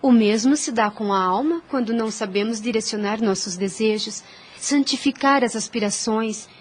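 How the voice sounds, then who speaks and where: An actress with a Brazilian accent playing a gentle, wise young girl who teaches faith earnestly in an audio drama. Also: on the high side, natural voice. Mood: earnest, consoling. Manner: earnest, preaching, measured.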